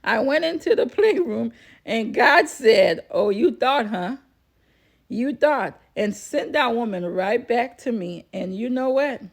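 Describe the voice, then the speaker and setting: Slow-paced chatty woman vlogger. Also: fairly high pitch, animated, vocal fry. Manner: chatty, repeats phrases for emphasis. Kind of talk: casual personal storytelling to viewers.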